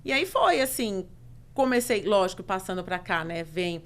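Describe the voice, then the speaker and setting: A middle-aged Brazilian woman with a Brazilian Portuguese accent, a podcast guest telling her own story in casual chat, expressive and emphatic, listing things in rhythmic runs. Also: medium pitch, normal speed, a little hiss or hum.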